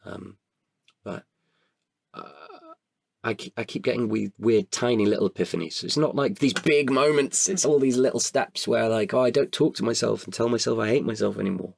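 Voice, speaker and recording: A British man with a low, husky voice talks in a reflective interview. He is candid and thoughtful, feeling his way hesitantly through his answers. The sound is close and dry. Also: normal speed, dry recording. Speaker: podcast guest, performer.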